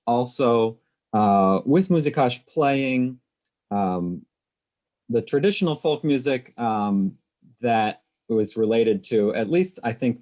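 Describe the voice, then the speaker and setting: Thirties man from Pittsburgh, webinar moderator, low voice, thoughtful with lots of ums. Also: darkish tone. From Pittsburgh, USA. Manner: thoughtful, many fillers. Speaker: moderator, quartet member. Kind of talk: webinar introduction. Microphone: video call.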